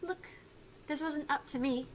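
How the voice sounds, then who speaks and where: A woman's voice: sits high, measured pace. A woman voicing a young student council vice president in a visual novel, bossy yet apologetic and a little hesitant. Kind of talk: acted visual novel dialogue. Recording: clear background noise.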